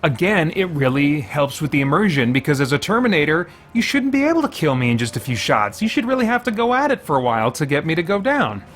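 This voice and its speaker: A man's voice: fairly high pitch, big pitch swings, quick pace, few pauses, fairly bright tone. A man giving casual gameplay commentary as a show host, conversational and musing as he thinks aloud. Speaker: gamer, host of a faith and geek culture show.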